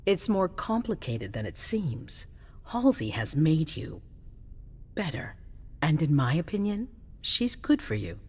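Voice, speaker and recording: An actress playing a commanding but anxious female leader in a video game cutscene, insistent and measured. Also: sits low, very animated, darkish tone, husky, heavily acted. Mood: persuasive. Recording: long reverberant hall echo.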